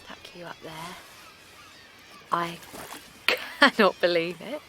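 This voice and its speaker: A frazzled, exhausted young mum. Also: female voice, lowish voice, takes it slowly, pushed and bright, a little hiss or hum. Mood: anxious weary. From Essex. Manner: anxious, apologetic, rambling, often half-whispered. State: worn out. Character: exhausted young mother of a colicky newborn. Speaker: new mother. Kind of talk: naturalistic audio drama acting. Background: faint outdoor seaside ambience.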